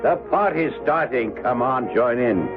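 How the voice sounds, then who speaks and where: A man's voice: medium pitch, expressive, measured pace. An actor playing a wealthy, blustering old miser and father in a radio drama. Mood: jovial, bossy. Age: middle-aged. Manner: emphatic, blustering. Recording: dull radio drama recording with music.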